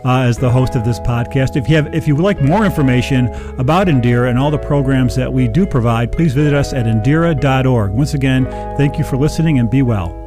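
A man filling in as podcast host, asking questions and signing off in a friendly way with a few fillers. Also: medium pitch. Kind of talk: podcast interview and sign-off.

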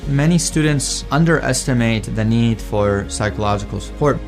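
A man's voice: medium pitch, normal speed, soft background music. A young man from Iraq, a PhD student, telling his personal story in an earnest, reflective way. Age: young adult. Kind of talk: edited personal testimonial.

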